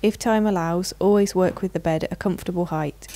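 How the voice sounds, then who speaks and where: Mellow, low-pitched woman narrating instructions. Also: fairly even intonation, a little fast. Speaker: narrator of a product training video.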